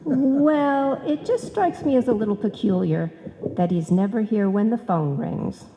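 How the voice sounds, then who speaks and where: A woman's voice: mid-range voice, a little slow, warm tone, dull audio, lightly acted. A woman playing a suspicious, pointed secretary in an old-time radio comedy. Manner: pointed, skeptical. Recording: reverberant live hall.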